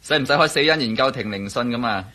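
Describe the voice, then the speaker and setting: A sly, evasive henchman in a radio drama, with a Hong Kong Cantonese accent. He sounds glib and mocking, with a sharp, slightly raspy tone at a middling pitch. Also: quick pace. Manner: sarcastic, glib.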